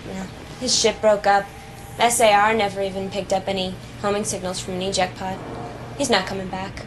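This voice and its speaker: An actress playing a subdued military pilot, speaking plainly in short, clipped phrases. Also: female voice, mid-range voice, little pitch movement, brisk, close to an everyday voice. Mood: somber. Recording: noisy ambience behind the voice.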